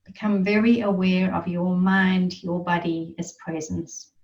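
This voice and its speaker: A woman leading a guided meditation in a soothing, reverent manner, leaving long pauses between phrases. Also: on the low side, fairly even intonation, darkish tone, a little hiss or hum. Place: online group gathering. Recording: muffled, roomy, distant online-call sound.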